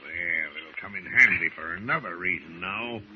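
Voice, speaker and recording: Man acting low-voiced villainous sea captain, bossy. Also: normal speed, natural voice. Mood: scheming. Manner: commanding, plotting. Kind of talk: 1940s radio acting. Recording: muffled, very noisy old radio recording.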